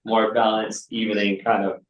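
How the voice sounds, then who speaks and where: A man co-hosting a coffee podcast, talking in a measured way with fillers. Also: fairly low pitch, flat delivery. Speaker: podcast co-host. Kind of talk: casual interview talk. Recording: echoey room, from a distance.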